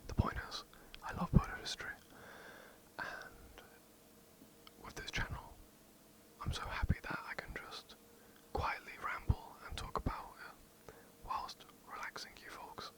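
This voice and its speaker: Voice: measured pace, a bit bright, rough recording. A young adult with an English accent, whispering a casual, rambling history overview for ASMR. The talk is loose and self-mocking, with swearing and asides. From England. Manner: casual, rambling, swears, giggles at own slips. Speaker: ASMR creator. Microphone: poor quality home mic.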